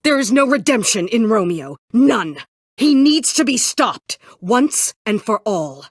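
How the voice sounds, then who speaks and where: Weary bitter survivor lady for a video game, slow n husky, curt, dry sarcasm. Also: on the high side, sing-song, no echo. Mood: tense, indignant. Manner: brooding, curt, dryly sardonic. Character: bitter, world-weary woman survivor seeking vengeance. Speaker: voice actress in a story video game. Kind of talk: dramatic video game voice acting.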